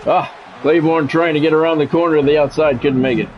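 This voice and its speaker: Higher-voiced man calling football play-by-play. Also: fairly even intonation, fast talker. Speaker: video game streamer and play-by-play commentator. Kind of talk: live sports play-by-play commentary over a football video game. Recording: dull, lo-fi mic with faint background noise.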